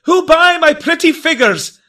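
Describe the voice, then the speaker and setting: A man voicing a poor, starving boy who loudly pleads with passers-by to buy his wares in a recited poem. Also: very high-pitched, expressive, unhurried, voice pushed up, full character voice. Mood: pleading, loud. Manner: loud pleading street cry.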